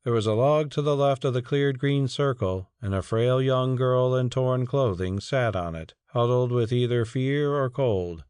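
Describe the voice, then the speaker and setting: A man's voice: mid-range voice, unhurried, hardly any pauses, a little mellow, clean tone, no room sound, clean studio sound. A man narrating a story as a scripted, dramatic audiobook reading.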